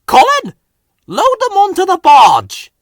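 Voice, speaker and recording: A man voicing a bossy, quick-tempered railway controller in a children's storybook. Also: very high-pitched, wildly swooping pitch, no room sound, studio quality, pitched above the performer's own voice, heavily acted. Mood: cross.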